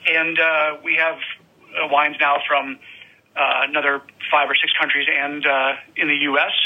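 A man giving a halting self-introduction on an online audio chat, filling pauses with many uhs. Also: on the high side, breathy edge, muffled recording. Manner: hesitant, many fillers. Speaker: wine ambassador, state sales manager.

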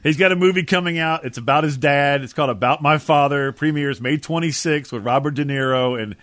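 A man's voice: on the high side, fairly quick, a bit bright, no echo. A middle-aged man bantering as a sports radio host, with folksy, emphatic, slangy storytelling. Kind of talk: casual sports talk banter.

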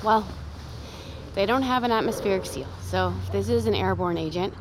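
An actress playing a blunt, exhausted survivor in a naturalistic audio drama, casual and sweary. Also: female voice, mid-range voice, normal speed, close to an everyday voice. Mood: weary, frank. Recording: clear car noise behind the voice.

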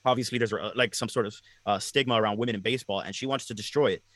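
A man hosting a casual sports podcast, talking in a rambling, animated way and often repeating his words. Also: lowish voice, racing, no room sound. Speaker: podcast host.

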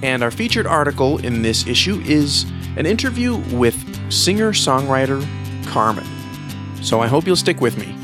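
A man's voice: mid-range voice, big pitch swings, moderate pace. A man hosting a music magazine show, speaking as presenter and interviewer. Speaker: host and interviewer.

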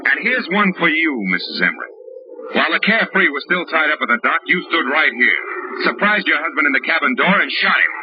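An actor playing a tough, wry, world-weary American private detective in 1940s radio drama style. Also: male voice, a little high, very animated, fast, background noise. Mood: urgent, accusing. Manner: wry, hard-boiled, dramatic. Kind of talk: 1940s radio drama acting, hard-boiled narration. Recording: muffled old radio recording with music.

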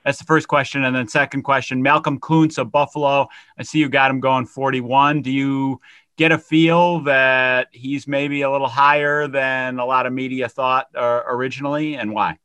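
A man's voice: medium pitch, flat delivery, normal speed, pushed and bright, clear, resonant tone, no room sound. A male reporter asking questions on a press conference call, phrasing them hesitantly in pieces.

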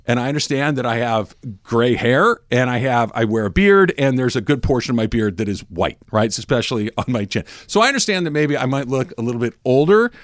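A man's voice: medium pitch, lively intonation, a little fast, no echo, clean studio sound. A man in his early fifties hosting a talk show, telling stories in a casual, self-mocking way with emphatic repetition. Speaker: sports talk radio host. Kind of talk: casual banter and storytelling.

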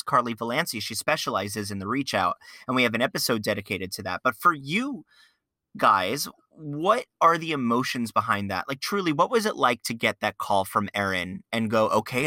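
A man's voice: mid-range voice, bright, forceful tone, no room sound. A young adult man hosting a podcast, reflective and hesitant, with many fillers. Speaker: podcast host. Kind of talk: casual interview chat.

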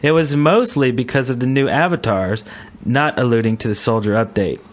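Male podcast host, average pitch, informal and chatty, for loose gaming talk. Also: normal speed. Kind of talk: casual gaming podcast chat.

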